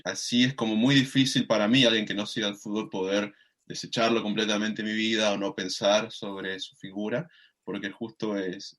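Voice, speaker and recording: Low-voiced hesitant man. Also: fairly bright tone. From Mendoza, Argentina. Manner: hesitant, many fillers and restarts, thinking aloud. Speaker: podcast guest. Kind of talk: casual reflective conversation.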